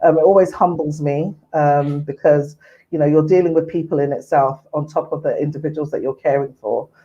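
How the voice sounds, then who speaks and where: Woman, 40s, from Ghana, earnest and unhurried guest, dull lo-fi line. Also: low voice, steady tone, mellow. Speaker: mental health services manager, guest. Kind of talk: casual interview chat. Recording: muffled lo-fi audio.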